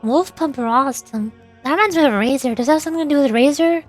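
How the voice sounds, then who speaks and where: A high-pitched woman game streamer who slips between casual chat and reading lore aloud. She comes across as giggly, easily puzzled and self-deprecating, with game music playing faintly behind her. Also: quick pace.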